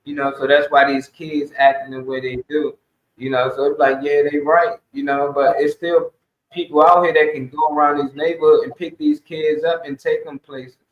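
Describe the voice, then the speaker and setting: Echoey, high-voiced man talking emphatically. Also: level tone, normal speed. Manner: emphatic, exhorting, colloquial, swearing. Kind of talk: casual opinionated community talk. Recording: dull, echoey room sound.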